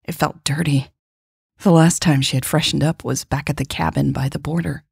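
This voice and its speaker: A woman's voice: sits low, fairly even intonation, moderate pace, gravelly, breathy edge, no room sound, clean studio sound. A woman hosting a podcast and reading scripted fiction as its narrator. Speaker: podcast host and author narrating her story.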